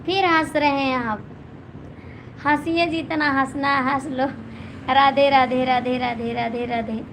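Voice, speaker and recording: A high-pitched woman with an Awadhi accent and a hoarse voice greets her viewers one after another. She speaks slowly and stays on an even, level pitch as she teases them. Clear background noise and passing vehicles are heard behind her phone mic. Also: low-quality recording. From Gonda district, Uttar Pradesh, India. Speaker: folk singer hosting a live stream. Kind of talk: casual live-stream chat, reading out comments.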